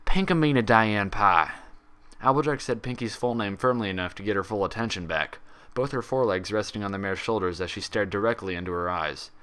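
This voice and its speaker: A man with a fairly low voice narrates fiction. He reads scripted prose in a dramatic storytelling style. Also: quick pace, few pauses, a bit bright. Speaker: fan fiction reader.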